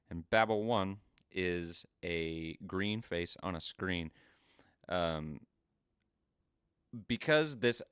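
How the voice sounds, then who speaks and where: Very deep-voiced casual male podcaster, crisp. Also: sharp tone, no room sound, clean studio sound. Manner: informal, sweary, halting as he explains. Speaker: podcast host. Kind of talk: casual review and story recap.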